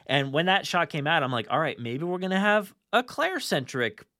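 A man's voice: fairly high pitch, sing-song, brisk, bright, forceful tone, no echo, clean studio sound. A man hosting a podcast, chatting casually and enthusiastically, animatedly acting out his own reactions. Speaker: podcast host.

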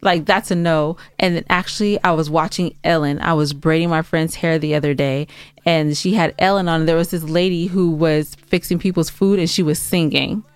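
A woman's voice: sits low, fairly even intonation, normal speed, clear, resonant tone, dry recording. An American woman co-hosting a podcast in casual banter, blunt and emphatic in the way she gives her opinions. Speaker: podcast co-host.

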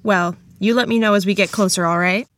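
A woman's voice: medium pitch, fairly even intonation, a little fast, a bit bright, dry recording, close to an everyday voice. Polite, hopeful mother in her fifties, woman acting. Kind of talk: table read of a 1920s period script.

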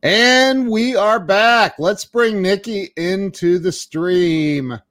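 A man's voice: sits high, fairly bright tone, no echo. A man hosting an interview podcast in a casual, upbeat way, often stopping and restarting his sentences. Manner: upbeat, chatty, with halting restarts. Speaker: podcast host.

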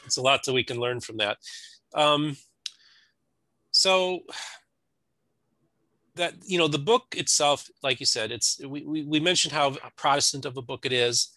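Male podcast-style interviewer. Conversational, crisp bright edge to the voice, close dry sound. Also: mid-range voice, unhurried, pauses often, no echo. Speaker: interviewer, host.

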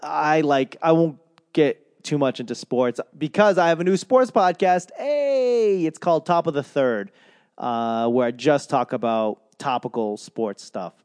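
A young comedian from Boston giving a casual, profane, rambling rant in a solo monologue. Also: male voice, fairly high pitch, a little slow, darkish tone, no room sound. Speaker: comedian and podcaster.

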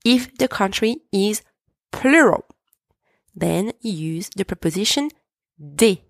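A woman hosting a podcast lesson, patiently explaining and repeating French examples slowly. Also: mid-range voice, lively intonation, gravelly, no echo, clean studio sound. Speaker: French teacher, podcast host.

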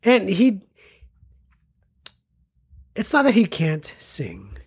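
A woman's voice: mid-range voice, huge pitch swings, extremely slow, creaky, slightly rough. A woman co-hosting a casual film discussion, chatty and often searching for words.

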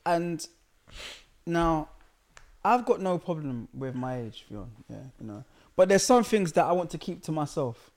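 A man's voice: a little high, animated, a little slow, darkish tone. A man, co-hosting a casual podcast and talking in a playfully defensive way, repeating himself and cutting in. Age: 30s. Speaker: podcast co-host. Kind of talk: casual banter.

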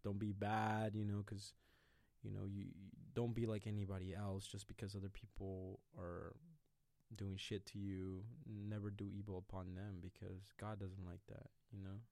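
A man's voice: fairly low pitch, completely flat delivery, moderate pace, creaky, dark tone, dry recording. A young man from Mexico, co-hosting a podcast in loose, joking chat, often laughing and trailing off. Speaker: podcast co-host. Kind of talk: casual chat.

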